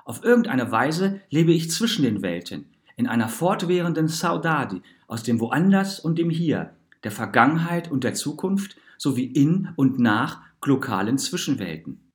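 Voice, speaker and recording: Man reading as an earnest, animated well-travelled mother. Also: mid-range voice, moderate pace, natural voice. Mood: passionate, reflective. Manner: earnest, reflective, eloquent. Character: passionate, well-travelled Portuguese mother musing on home. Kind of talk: storybook narration in character.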